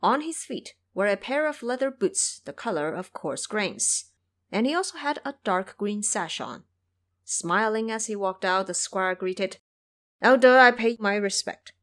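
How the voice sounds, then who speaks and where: A woman narrates an audio drama as a storyteller. Her scripted delivery is animated, with pitch that moves widely. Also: measured pace.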